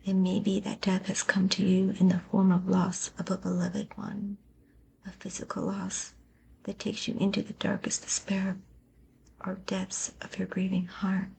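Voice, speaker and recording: A woman softly whispering an ASMR poetry reading in a breathy, gentle, soothing and deliberate manner. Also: medium pitch, completely flat delivery, rough recording.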